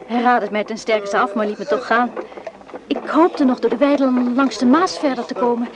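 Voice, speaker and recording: A woman's voice: fairly high pitch, normal speed, close to an everyday voice. A woman playing a brave, composed wife in a wartime radio play, earnest and firm. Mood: weary, resolute. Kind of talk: radio drama acting.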